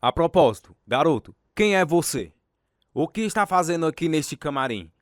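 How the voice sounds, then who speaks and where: Arrogant boxing champ, raised pitch. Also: male voice, big pitch swings, moderate pace, no room sound, studio quality. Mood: cocky, threatening. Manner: boastful, taunting. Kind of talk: radio drama acting.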